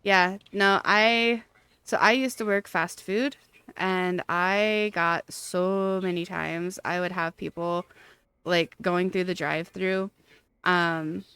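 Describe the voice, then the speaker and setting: A woman live-streaming a game and chatting casually with viewers in a rambling, halting, giggly way. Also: lowish voice, fairly even intonation, fairly bright tone, clean tone, dry recording.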